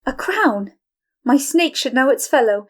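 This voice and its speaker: A woman acts a young wife in a poetic radio play, frightened but defiant. Her voice is high and she declaims slowly, weighing each phrase. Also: expressive.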